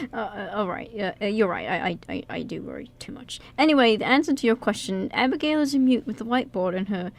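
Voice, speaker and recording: A woman's voice: medium pitch, lively intonation, brisk, close to an everyday voice. A woman acting a nervous, good-natured young man in an amateur radio play, stammering and hesitant as he puts himself down. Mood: flustered, apologetic. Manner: heavily stammering, hesitant, self-deprecating.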